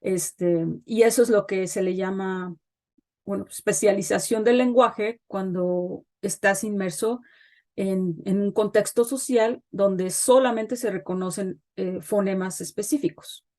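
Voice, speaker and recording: A middle-aged woman, a guest expert, explains child development in an interview, pausing often with fillers as she thinks. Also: medium pitch, fairly even intonation, warm tone. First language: Spanish. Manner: thoughtful, pauses with frequent fillers.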